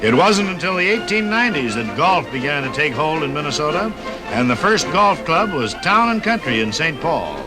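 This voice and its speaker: A man's voice: fairly high pitch, big pitch swings, normal speed, rough recording. An American man reading scripted narration and sponsor messages as a 1950s radio host. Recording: 1950s radio broadcast recording, music.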